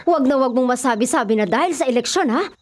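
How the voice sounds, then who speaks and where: A sharp-tongued older mother in a radio drama. She nags and scolds like a long-suffering wife, exasperated and blunt. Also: high voice, expressive, quick pace, slight background noise. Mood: exasperated nagging. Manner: nagging, scolding. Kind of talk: Filipino radio drama acting.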